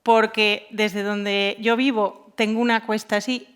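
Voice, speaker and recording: A woman's voice: mid-range voice, level tone, unhurried, smooth. A woman speaking informally on a panel, colloquial and anecdotal, repeating words for emphasis. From Asturias, Spain. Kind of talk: informal round-table talk.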